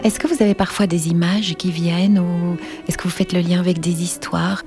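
Woman interviewer over loud strings. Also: fairly low pitch, level tone, moderate pace. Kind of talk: interview questions. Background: loud cello and violin music.

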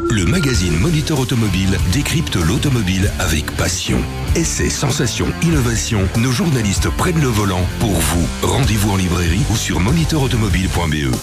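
A man reading a scripted radio ad in a lively selling tone. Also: lowish voice, normal speed. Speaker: ad announcer. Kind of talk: scripted ad voice-over. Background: loud music.

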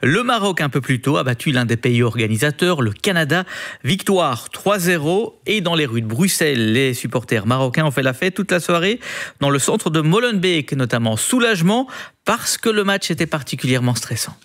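Guy reading radio news, a bit higher voice, brisk, few ums. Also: sing-song, a bit bright. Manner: brisk newsreader delivery with occasional fillers. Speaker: news anchor.

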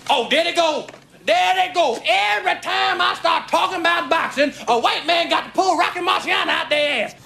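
An actor playing a cantankerous, ranting barber with an American accent and a gravelly, sharp voice in a comedy film. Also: male voice, very high-pitched, normal speed, faint music behind, exaggerated voice. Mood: indignant ranting.

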